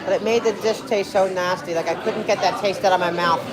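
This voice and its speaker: A woman's voice: medium pitch, steady tone, fairly quick, poor audio. A young woman from New Jersey chatting casually on a livestream, rambling and reading viewer comments aloud in a restaurant. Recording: crowd chatter in a busy public place.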